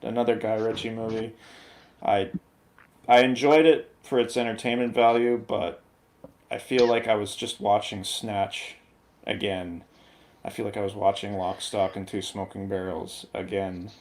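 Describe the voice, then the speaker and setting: Slightly low-voiced man, halting casual opinions. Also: a little mellow. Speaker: panel guest reviewer. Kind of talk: casual opinionated film chat.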